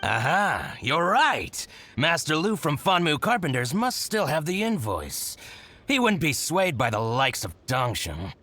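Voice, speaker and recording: An actor playing a scheming, spiteful shopkeeper in a video game, with exaggerated, exclamatory, gloating delivery. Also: male voice, a little high, huge pitch swings, unhurried, pushed and bright, full character voice. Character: scheming, theatrical male shopkeeper, gloating and spiteful toward rival.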